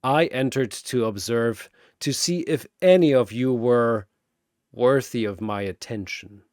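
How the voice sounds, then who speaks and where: A secretly frightened impostor, acted by a male narrator poses as a mighty, bored fighter in a battle story. His delivery is slow and condescending over hidden nerves, with a dry close sound. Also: medium pitch, dry recording, lightly acted. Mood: feigned boredom. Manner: flat, bored, condescending out loud.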